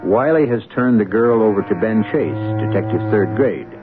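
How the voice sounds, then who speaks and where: Male old-time radio drama host; deep-leaning, measured scripted narration, dull band-limited sound. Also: lively intonation. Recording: muffled old radio broadcast with music. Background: loud music.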